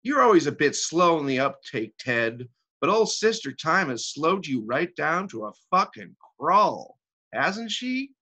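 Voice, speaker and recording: A man acting a worldly, wealthy divorcee who reminisces in a sardonic, profane stage monologue with drawn-out emphasis. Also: a little high, big pitch swings, bright, forceful tone, voice pushed up, exaggerated voice. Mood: mocking. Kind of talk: theatrical dramatic monologue reading.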